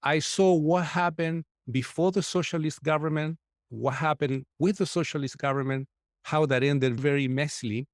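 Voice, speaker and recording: A man's voice: a little high, fairly even intonation, moderate pace, no echo. Earnest Chilean-born man in his fifties. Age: 50s. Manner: earnest, methodical, repeats words while searching. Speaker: guest, mortgage broker. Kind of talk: interview answers.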